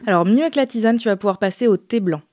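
A woman's voice: medium pitch, quick pace, a little mellow, no room sound. Reassuring woman, dry close sound. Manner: friendly, reassuring, explains patiently. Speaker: naturopath guest. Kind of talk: casual explanatory chat.

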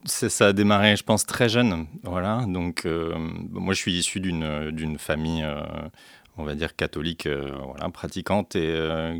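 A man's voice: low voice, no room sound, clean studio sound. A man from central France, a guest on a radio interview, telling his story with many fillers and restarts. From central France, Orléans area. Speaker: guest, beekeeper and deacon.

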